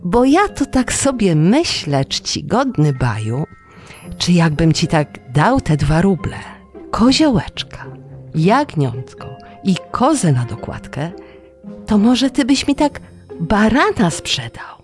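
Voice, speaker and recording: A man's voice: high voice, sing-song, takes it slowly, faint music behind, pitched above the performer's own voice, exaggerated voice. A storyteller voicing a wily, poor male trickster who pleads in a wheedling, mock-humble way. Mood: coaxing.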